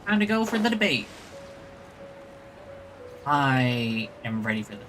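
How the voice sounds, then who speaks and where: A man's voice: mid-range voice, very animated, measured pace. A man giving casual gameplay commentary as a streamer, reading out game prompts. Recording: game music behind the voice.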